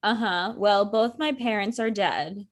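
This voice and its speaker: A woman's voice: mid-range voice, a little slow, lightly acted. An actress playing a sardonic, wounded ex-girlfriend in her thirties, with dry, pointed delivery in a comedic table read. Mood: resentful. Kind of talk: naturalistic comedic dialogue reading.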